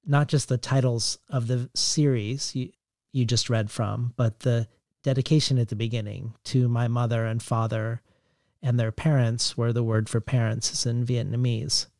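A man interviewing a poet, asking a long, reflective question in a measured, hedging way. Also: medium pitch, steady tone, gravelly, darkish tone, no room sound, studio quality. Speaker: interviewer, podcast host.

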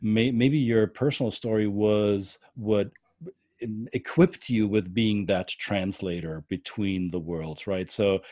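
Interview host: a low-voiced man with a mellow tone who asks loose, musing questions. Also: slow, no echo, studio quality. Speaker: podcast host. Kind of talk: interviewing a guest.

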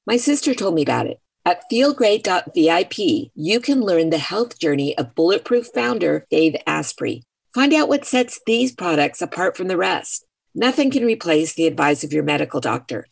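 A woman's voice: low voice, vocal fry. A woman reading a scripted radio advertisement in an upbeat, persuasive way. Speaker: executive producer reading an ad.

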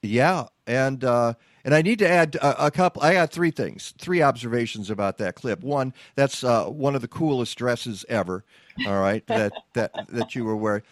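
Male radio host, casual and joking, lots of fillers, dry close sound, middle pitch. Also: animated, no room sound. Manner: chatty, joking, halting with fillers. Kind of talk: casual interview talk.

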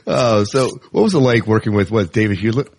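A man's voice: lowish voice, brisk. An American man hosting a casual podcast interview in an informal, rambling way.

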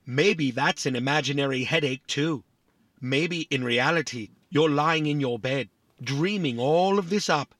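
An actor playing a small, vain, philosophical robot in a family audio drama, boastful and politely fussy. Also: male voice, fairly high pitch, unhurried, fairly bright tone, no echo. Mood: vain, musing.

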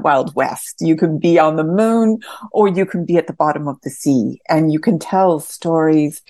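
A woman's voice: low-pitched, mellow, no echo. A woman theatre director answering questions reflectively as an interview guest.

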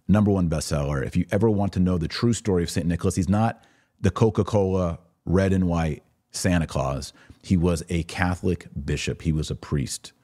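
A man's voice: low-pitched, dark tone. A man hosting a podcast, giving casual commentary in an informal, emphatic, slangy way and repeating key phrases for punch.

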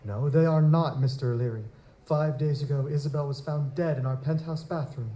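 An actor playing a courteous, grieving young nobleman in a live audio drama, speaking in formal, deliberate aristocratic phrases. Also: fairly high pitch, steady tone, dark tone, lightly acted. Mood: grave, grieving.

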